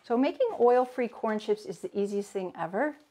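A woman's voice: mid-range voice, lively intonation, moderate pace, mellow. A woman in her forties teaching a live cooking demo from her home kitchen, chatting and greeting viewers by name as she works. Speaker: cooking instructor.

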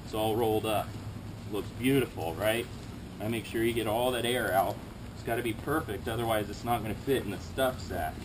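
A man in his thirties with a somewhat low voice gives a relaxed, chatty how-to while kneeling on a backyard lawn. He is picked up by a camera set a little way off, and steady outdoor noise runs under his voice throughout. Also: moderate pace. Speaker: outdoor gear show host. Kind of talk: casual how-to demonstration.

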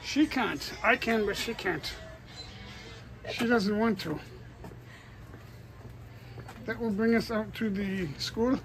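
A man's voice: high voice, slow, creaky, long pauses, low-quality recording. Male walking-tour vlogger, casual conversational delivery, recorded outdoors on a handheld camera. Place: outdoors in narrow alleys.

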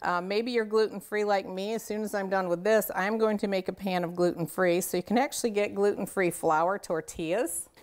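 A woman's voice: mid-range voice, steady tone, fairly quick, few pauses, a little mellow. A middle-aged woman hosting a casual cooking demonstration, chatty, folksy and enthusiastic as she talks straight to viewers. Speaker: cooking show host. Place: home kitchen, indoors.

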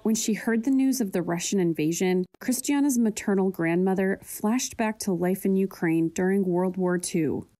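A woman reporter reading a scripted news voice-over in a steady, clear manner. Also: mid-range voice, moderate pace, few pauses.

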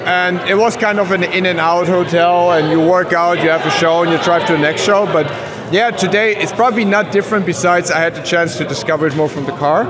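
A man from Germany speaks English with a German accent. His voice sits a little high and he sounds confident and earnest, stopping now and then to find a word. The handheld mic picks up a backstage crowd murmuring clearly behind him. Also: brisk. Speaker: wrestler. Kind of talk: backstage interview. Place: backstage at a wrestling event, before a sponsor wall. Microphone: handheld mic held by the interviewer.

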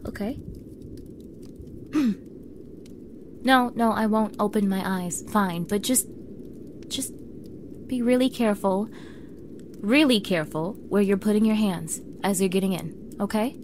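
A young woman playing a defensive mean girl with a vulnerable side in an intimate ASMR roleplay, halting and often dropping to a breathy near-whisper. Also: mid-range voice, a little mellow, natural voice. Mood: tense, awkward. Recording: clearly audible background noise bed.

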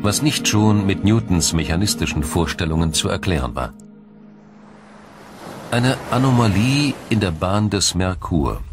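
Male narrator, low register, measured and formal documentary delivery, light music bed underneath. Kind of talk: scripted science documentary narration.

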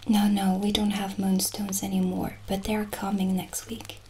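A woman speaking in a soft, breathy whisper, playing a gentle witch shopkeeper in an ASMR roleplay. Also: mid-range voice, level tone. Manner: slow, gentle, hushed. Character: soft-spoken witch selling potions and crystals. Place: small dark candlelit indoor set. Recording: clearly audible ambient background noise.

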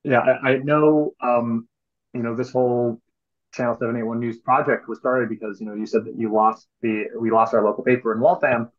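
A man in his twenties, a guest commentator in casual discussion, speaking haltingly and repeating words. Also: mid-range voice, steady tone. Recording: thin, phone-like video call audio.

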